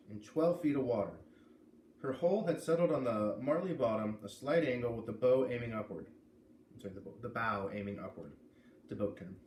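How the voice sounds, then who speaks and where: A man with a medium-pitched voice reads a story aloud as a casual host. He sounds a little distant from the microphone, in a roomy space with faint noise. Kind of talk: storybook narration with casual asides. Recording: echoey room, distant mic, faint noise.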